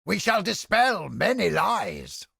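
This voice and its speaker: A cynical old heretic scholar for a video game, his voice very husky and full of gravel. He is pompous, wordy and easily irritated, and his pitch swings dramatically across a very wide range. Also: huge pitch swings, takes it slowly, sharp tone, no room sound, studio quality, full character voice. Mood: grave, brooding. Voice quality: raspy, gravelly. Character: cynical, haughty old heretic scholar with mind-control powers.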